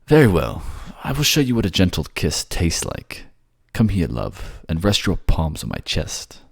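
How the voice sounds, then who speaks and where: Man acting gentle merman prince, deep and creaky. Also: huge pitch swings, unhurried, no echo, studio quality, exaggerated voice. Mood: tender, affectionate. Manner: tender, polite, slightly bashful hesitations. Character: gentle courtly merman prince, affectionate, self-deprecating. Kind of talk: romantic fantasy audio drama, ASMR roleplay.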